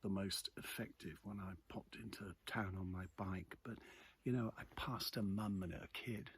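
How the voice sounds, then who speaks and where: A British man with a lowish voice talks informally, like a vlogger sharing the morning news. His delivery is reflective and halting, with pauses between thoughts. There is some ambient noise behind him. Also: steady tone, fairly bright tone. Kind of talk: casual chat, off-the-cuff commentary on the news. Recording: clearly noisy ambient background.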